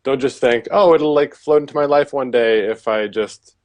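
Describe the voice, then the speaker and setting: A man who works as a relationship coach, answering interview questions in a relaxed, conversational way with lots of filler words. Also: medium pitch, moderate pace, no room sound.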